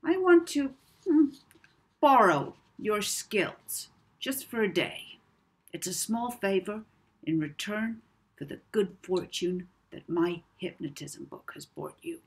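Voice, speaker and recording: A sinister, manipulative male villain from a children's audiobook, acted out by a woman. The voice is very husky and breathy, drawling softly and slowly. He pauses deliberately, smooth and menacing. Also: medium pitch, expressive, voice pushed up, exaggerated voice. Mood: sly, amused. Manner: soft-spoken, lazy drawl with deliberate pauses.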